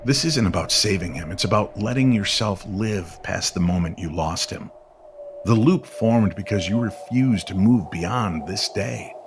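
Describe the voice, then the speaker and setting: A man playing a calm, grave stranger in an audio drama, speaking deliberately and with gentle firmness. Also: fairly low pitch, noisy background. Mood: solemn, firm. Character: calm, grave, knowing male stranger guiding a grieving woman.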